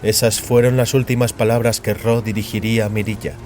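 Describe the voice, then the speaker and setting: A man narrating dramatic fiction in a solemn, measured way. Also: lowish voice, little pitch movement, darkish tone, faint music behind.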